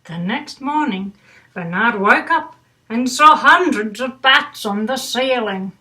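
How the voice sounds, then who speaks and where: A woman's voice: a little high, animated, slow, pushed and bright. An older woman reading a children's bedtime story aloud with doting, grandmotherly asides. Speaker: storybook reader.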